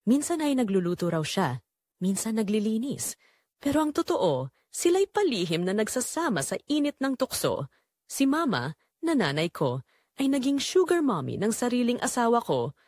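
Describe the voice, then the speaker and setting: A woman's voice: on the high side, sing-song, normal speed, darkish tone, no echo, clean studio sound. A woman giving a dramatic, scripted first-person narration as the storyteller of an audio drama.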